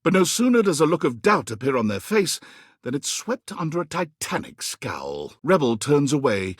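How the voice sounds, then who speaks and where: Wry game narrator, husky, dramatic swooping delivery, bone dry studio sound. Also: male voice, fairly high pitch, sing-song, no room sound. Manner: theatrical, measured, wry. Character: wry omniscient male game narrator, theatrical and dryly mocking.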